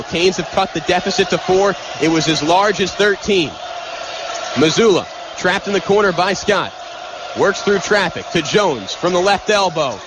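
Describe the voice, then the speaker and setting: A man doing live play-by-play basketball commentary for radio, with a clipped delivery. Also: sits high. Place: live from a college basketball arena. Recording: noisy arena radio broadcast.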